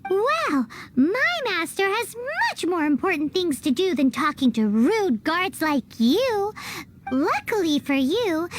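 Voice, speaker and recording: A woman voicing a tiny, bossy, cheeky childlike fairy companion in a video game, with a squeaky voice and a perky manner. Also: exaggerated voice. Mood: haughty. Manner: talks about self in third person, perky. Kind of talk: video game character acting.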